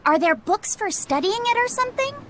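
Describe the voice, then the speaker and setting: An actress playing a shy, earnest, bookish young child in a video game, polite and eager. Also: female voice, very high voice, sing-song, brisk. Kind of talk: English game voice acting.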